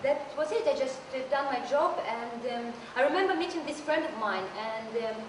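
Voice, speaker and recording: A woman from Russia with a Russian accent, telling a personal story in a halting way, searching for words and repeating phrases. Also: sits high, background noise. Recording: echoey studio room from far off.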